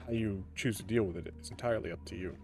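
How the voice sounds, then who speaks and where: A man acting as game master, improvising narration with deliberate, dramatic phrasing and pauses. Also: lowish voice, gravelly. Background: faint music.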